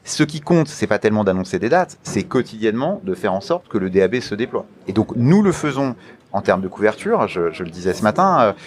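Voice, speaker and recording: A man with a fairly low voice answers interview questions in a formal register. He speaks deliberately, often halting mid-sentence, then stresses his key phrases by repeating them. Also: very animated. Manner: deliberate, halting with many hesitations, emphatic repetition of key phrases. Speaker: interview guest, media regulator official.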